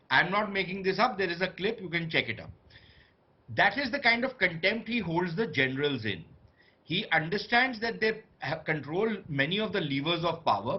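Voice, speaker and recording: A man's voice: high-pitched, dull audio. A man giving opinionated, sardonic commentary on a live TV news debate. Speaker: panel commentator.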